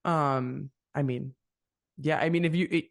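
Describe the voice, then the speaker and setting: Male podcast host, mid-range gravelly voice, reflective and rambling, dry close mic. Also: a little high, fairly even intonation, a little slow, dry recording. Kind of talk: casual interview chat.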